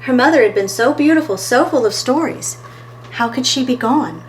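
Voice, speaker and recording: Higher-voiced woman reading a children's storybook. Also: normal speed, slight background noise, low-quality recording. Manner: expressive storytelling, talks to listeners between pages. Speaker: storytime host and reader. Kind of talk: children's picture-book read-aloud.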